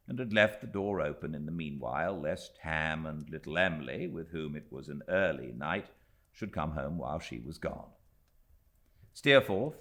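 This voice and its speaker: A British man narrates classic literature in a low-pitched voice with a bright, forceful edge. His reading is expressive, with wide, animated movement in pitch, in the manner of a professional audiobook. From Britain.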